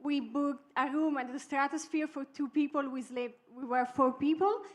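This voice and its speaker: A woman's voice: sits high, moderate pace. A woman in her twenties from Europe telling her career story as a guest speaker. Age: 20s. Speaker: summit guest, network marketer. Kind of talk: testimonial storytelling.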